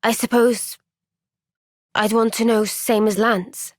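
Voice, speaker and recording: Young female game heroine: high voice, slow measured delivery, gentle yet resolute, dry close recording. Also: little pitch movement, no echo. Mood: thoughtful. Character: earnest, gentle but firm young woman fighter. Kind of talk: video game cutscene voice acting.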